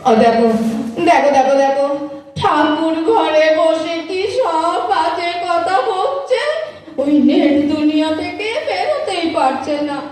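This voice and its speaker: Elderly mother-in-law, female performer, stage play: slow, plaintive nag, lonely but loving, distant from the mic. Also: very high-pitched, lively intonation, darkish tone. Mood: scolding, nagging. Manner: nagging, plaintive, drawn-out theatrical phrasing. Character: scolding, self-pitying old widowed-household mother-in-law, lonely but loving. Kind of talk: staged Bengali audio-play acting. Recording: very echoey distant hall sound, faint music.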